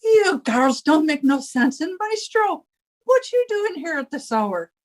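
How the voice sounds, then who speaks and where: High, swooping landlady. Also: big pitch swings, unhurried. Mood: affronted. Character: fussy, coquettish older Irish landlady, easily affronted. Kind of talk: 1950s radio comedy acting.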